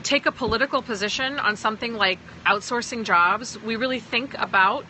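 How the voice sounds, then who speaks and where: Bright-voiced American-sounding woman. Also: a little high, a little fast, slight background noise, rough recording. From Pakistan. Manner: emphatic, keeps checking in with "okay?". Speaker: direct-sales team leader. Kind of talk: livestream talk answering viewers. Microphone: phone.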